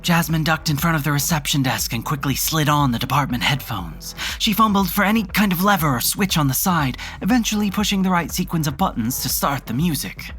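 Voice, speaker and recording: A woman narrating a horror audio drama in a measured, literary storytelling manner. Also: deep voice, expressive, a bit bright. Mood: ominous. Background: music.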